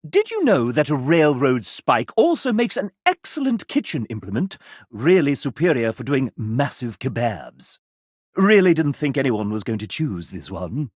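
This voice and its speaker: Flamboyant, sassy gentleman narrator, wildly swooping. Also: mid-range voice, sing-song, normal speed, no echo, studio quality. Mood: sassy, wry. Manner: flamboyant, arch, mock-genteel, sardonic. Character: sassy, theatrical gentleman game-show style narrator of a dating game. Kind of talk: theatrical scripted video game narration.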